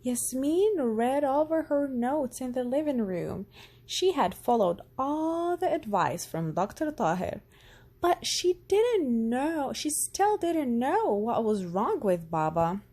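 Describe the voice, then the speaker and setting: Animated, slow-paced woman storyteller. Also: high-pitched, breathy edge. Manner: expressive, deliberate. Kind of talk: children's storybook narration.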